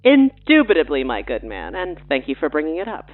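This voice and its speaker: Thin radio-band sound, playful pushy woman pitching stuff casually, voice bouncing up and down. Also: huge pitch swings, brisk, narrow, tinny audio. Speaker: guest showrunner and writer. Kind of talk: casual scripted promo banter on a mock radio show.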